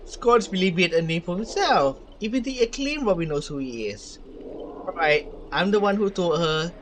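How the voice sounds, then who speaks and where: A man's voice: sits high, lively intonation, normal speed, background noise. A man reading a video game's story aloud in a theatrical way as he plays. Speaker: gaming streamer. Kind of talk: reading game dialogue aloud while reacting.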